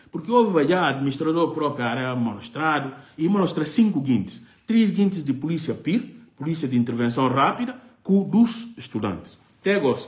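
Impassioned middle-aged man. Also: fairly high pitch. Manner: impassioned, gesturing, repeats phrases for emphasis. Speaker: political commentary host. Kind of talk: live political commentary to camera. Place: living room sofa, indoors. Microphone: phone camera at arm's length.

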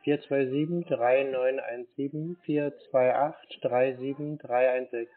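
A man baiting a phone scammer, unhurried and playing dumb, answering in short replies. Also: medium pitch, steady tone. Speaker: scam baiter. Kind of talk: phone call. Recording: tinny phone line.

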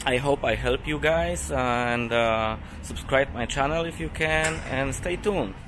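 Polish man vlogging, halting english full of uh's, arm's-length camera, city noise around. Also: medium pitch. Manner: halting non-native English with many fillers. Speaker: travel vlogger. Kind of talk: casual vlog talk to camera. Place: hotel rooftop by a pool, outdoors. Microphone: handheld camera at arm's length. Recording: outdoor city noise behind.

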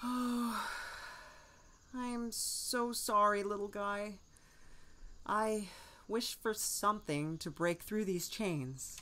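A woman playing a nervous, soft-hearted young man in an audio drama, hesitant and flustered with sighs and stammers. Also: medium pitch, extremely slow, pauses often. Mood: reluctant.

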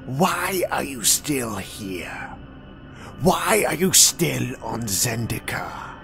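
An actor playing a calm, courteous male vampire with a thin, dry voice, speaking slowly and with polite menace in a fan audio drama. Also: mid-range voice, gravelly, music in the background, exaggerated voice. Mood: measured. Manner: slow, measured and politely menacing.

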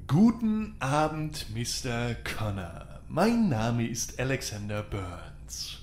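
A man's voice: medium pitch, very animated, slow pace. A man playing a pushy, condescending FBI agent interrogating a suspect in an audio drama. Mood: probing, condescending. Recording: roomy, echoey voice recording.

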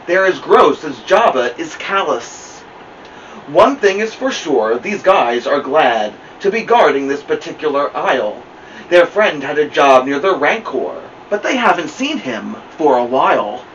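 Guy doing kids storytime, expressive slow reading, kinda far from the mic w some hiss. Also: a little high. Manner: deliberate, dramatic storytelling. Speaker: storytime host. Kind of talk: expressive children's picture-book reading. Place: indoor room at home, seated by a plant. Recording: muffled, noisy, distant camera mic.

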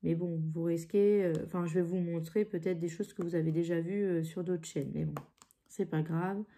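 A woman's voice: on the low side, steady tone, moderate pace, warm tone, slight background noise. A woman with a blocked nose from a cold, chatting casually and hesitantly at her craft table, full of fillers and restarts. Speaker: craft channel host. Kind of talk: casual chat while crafting. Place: home craft table, indoors.

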